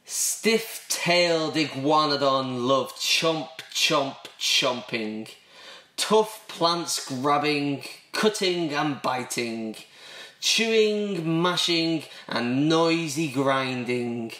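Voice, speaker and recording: A man reading a children's picture book aloud, deliberate and emphatic on action words. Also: a little high, animated, sharp tone. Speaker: school staff reader. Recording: roomy, echoey sound.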